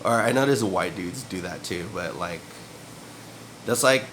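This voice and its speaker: Young male vlogger, low-pitched, stuffy with a cold, loose filler-heavy ramble, faint room hum behind. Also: animated, a little slow, a bit bright. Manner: loose and meandering, filler-heavy, frequent throat clearing and chuckles. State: sniffly with a cold and cough. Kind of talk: rambling casual monologue to camera. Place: room at home. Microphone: camera mic.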